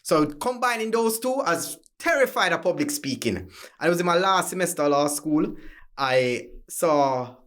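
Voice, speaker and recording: Lively Jamaican man with a higher voice. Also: normal speed. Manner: animated, acts out quotes with big pitch jumps. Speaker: guest attorney. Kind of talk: casual conversational storytelling.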